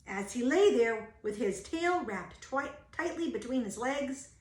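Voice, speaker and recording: Woman, storybook read-aloud, expressive teacher delivery, very unhurried, echoey room. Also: a little high. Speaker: teacher reading a picture book. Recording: roomy, echoing home-style recording.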